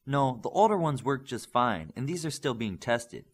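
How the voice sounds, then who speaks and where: A man's voice: medium pitch, very animated, moderate pace, slightly rough, pitched above the performer's own voice. Man playing an earnest, curious young superhero. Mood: earnest, explaining.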